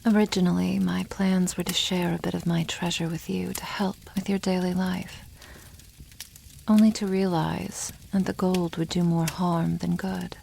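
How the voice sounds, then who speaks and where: A woman voicing a protective, tender female dragon in a soft, intimate ASMR roleplay, with formal wording and a hushed, often half-whispered delivery. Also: on the low side, level tone, normal speed, vocal fry, slight background noise, low-quality recording. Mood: gentle, earnest.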